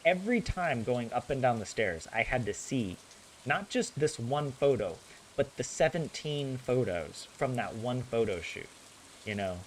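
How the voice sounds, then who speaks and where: A man's voice: medium pitch, expressive, a little slow, slight background noise, poor audio. A young man with a general American accent chatting casually with his viewers while he plays a game, rambling and correcting himself as he goes. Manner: rambling, chatty, self-correcting, laughs often. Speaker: gaming streamer.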